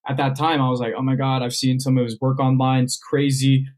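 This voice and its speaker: A man's voice: mid-range voice, flat delivery, fairly quick, darkish tone. A young man from Southern California, a magician guest on a podcast, explaining how he builds his routines and acting out bits of past conversations. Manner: explains step by step, acts out remembered dialogue. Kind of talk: podcast interview answers.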